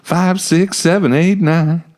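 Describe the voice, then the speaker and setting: A man's voice: on the high side, fairly even intonation, slow pace, darkish tone. A man co-hosting a casual podcast in a playful, jovial manner on a studio microphone. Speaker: pastor and podcast co-host. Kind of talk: casual chat. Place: small studio round a table. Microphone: close podcast microphone.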